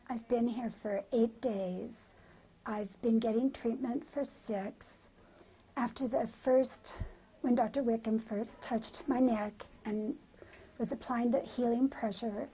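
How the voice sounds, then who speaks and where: A woman giving an unscripted testimonial as a patient, speaking haltingly, word by word. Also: fairly high pitch, muffled recording.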